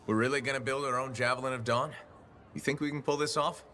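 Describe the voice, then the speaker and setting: An actor playing an easygoing, dryly sarcastic mercenary hero in a video game. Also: male voice, mid-range voice, steady tone, quick pace, sharp tone, slight background noise, close to an everyday voice. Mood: dry, wry. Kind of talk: video game dialogue acting.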